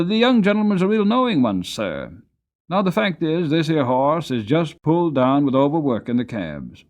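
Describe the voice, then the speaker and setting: A man's voice: a little high, a little mellow, pitched above the performer's own voice. A man voicing a wheedling, folksy working-class horse seller with emphatic sales patter, in a dramatic audiobook reading.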